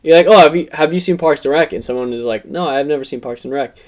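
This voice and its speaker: A man's voice: a little high, fast. A young American man from Pennsylvania in casual podcast chat with friends, rambling emphatically and swearing freely. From Pennsylvania, United States. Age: young adult. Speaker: podcast co-host.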